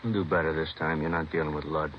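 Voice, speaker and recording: A man's voice: extremely low pitch, steady tone, fast. A man playing a cool, suspicious drug dealer in a vintage radio crime drama, curt and businesslike. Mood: cool, wary. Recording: dull vintage radio recording, faint hiss.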